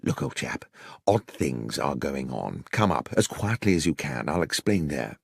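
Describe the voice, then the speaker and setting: A man with a British accent voicing a loyal, worried Victorian gentleman doctor in an audiobook, polite and earnest. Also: very deep voice, big pitch swings, a little slow, vocal fry, husky, dry recording, studio quality, voice pushed down, full character voice. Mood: hushed, secretive.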